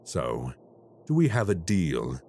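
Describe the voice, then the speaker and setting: A man playing a very deep, slow crime boss. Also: wildly swooping pitch, vocal fry, a little mellow, a little hiss or hum, full character voice. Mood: menacing offer. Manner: slow, measured, formally menacing. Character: cold, calculating male crime boss. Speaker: voice actor in an audio roleplay. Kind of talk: audio roleplay acting.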